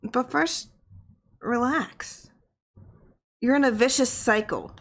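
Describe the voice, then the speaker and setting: A woman voicing a gentle, reassuring wife who tenderly coaxes her troubled husband in an audiobook story. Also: a little high, slow, pitched above the performer's own voice. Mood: firm, reassuring.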